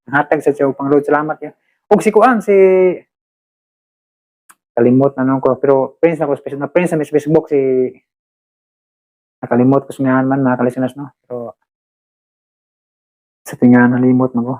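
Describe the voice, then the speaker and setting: A man's voice: medium pitch, moderate pace, pauses often, a little mellow, low-quality recording. A man with a Cebuano Filipino accent chatting casually to his listeners as host, rambling and hesitant, often repeating himself. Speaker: host and drama uploader. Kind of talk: casual chat to listeners, shout-outs.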